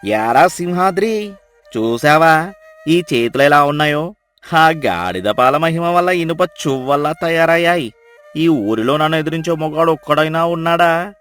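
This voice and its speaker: A man plays a swaggering village headman from a moral tale. The voice is fairly high for a man, pompous and bossy, full of gullible self-importance. Soft music runs behind it. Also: expressive, unhurried. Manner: pompous, boastful, commanding. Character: arrogant, gullible village headman boasting of his strength. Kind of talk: dramatised moral story dialogue.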